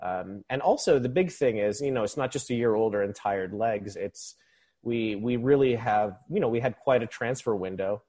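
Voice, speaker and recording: Male, 30s, from the Philippines, lowish voice, casual football podcast guest. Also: moderate pace, dark tone, breathy edge. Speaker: podcast guest, football supporters' club member. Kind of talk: casual conversational sports commentary.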